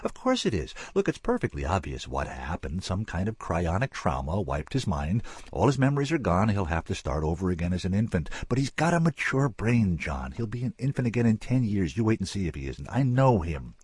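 A man's voice: low-pitched, sing-song, a little mellow, husky, dry recording, exaggerated voice. A man reading an audiobook, voicing a tough, stubborn woman of forty who chatters on insistently. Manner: rapid insistent chatter, rising to fury.

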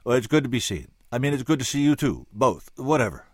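A man's voice: medium pitch, big pitch swings, fast talker, a little mellow, husky, dry recording, clean studio sound. A man voicing a wry, streetwise male bear musician who talks bluntly in a laconic way.

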